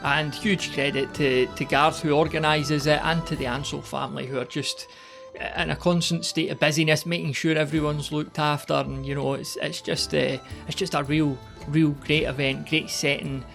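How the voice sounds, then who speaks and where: A man's voice: fairly high pitch, level tone, normal speed, gravelly, fairly bright tone, faint music behind. A middle-aged Scottish man hosting a podcast in a conversational, informal and slightly hesitant narrating style. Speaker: podcast host.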